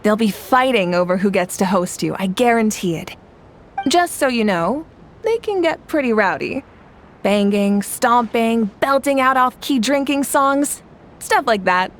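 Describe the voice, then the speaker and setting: A woman's voice: on the high side, lively intonation, a little slow, a little hiss or hum. A woman playing a hearty, friendly tribeswoman in a video game, talking in a breezy, good-natured, familiar way. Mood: hearty, inviting.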